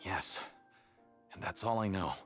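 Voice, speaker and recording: A man's voice: sits low, unhurried, a bit bright. Cagey, self-justifying male prisoner. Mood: aggrieved, cagey. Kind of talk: video game voice acting. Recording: game dialogue with music behind.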